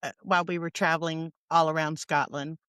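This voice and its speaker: A woman's voice: low voice, steady tone, brisk, a bit bright, clean tone, dry recording. A woman with an American accent hosting a podcast, talking in a casual conversational style. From United States. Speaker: podcast host.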